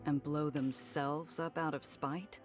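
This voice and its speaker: A woman's voice: deep voice, fairly even intonation, takes it slowly, soft background music. An actress playing a tough, world-weary smuggler woman in a 1930s noir game, wry and clipped. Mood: stern, wry.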